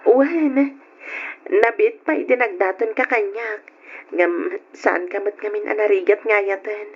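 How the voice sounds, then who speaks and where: An actress playing a coy, tender young sweetheart in an emotional old radio drama. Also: female voice, mid-range voice, unhurried, breathy edge. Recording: noisy, dull old radio recording.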